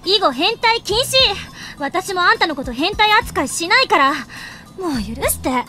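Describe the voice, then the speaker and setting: An actress playing a curt, scolding young woman scientist in a visual novel, brisk and assertive. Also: very high-pitched, big pitch swings, sharp tone, full character voice. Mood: irritated. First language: Japanese.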